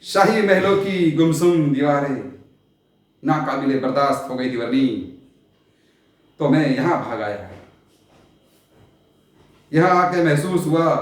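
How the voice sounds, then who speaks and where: In a heavily reverberant space, a man acts out a solitary, world-weary sultan in a stage play. His fairly high male voice moves slowly and grandly through poetic lines. The delivery is theatrical and brooding, with long pauses and sudden swells. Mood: troubled, reflective. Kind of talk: Hindi stage play monologue, poetic Urdu diction. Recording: very echoey, reverberant room.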